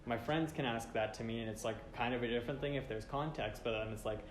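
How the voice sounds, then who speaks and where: A man's voice: medium pitch, quick pace, slight background noise. A young man from Boston, speaking frankly and chattily about his own experience. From Boston, United States. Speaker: student panelist. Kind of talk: casual personal testimony. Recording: roomy room with background music.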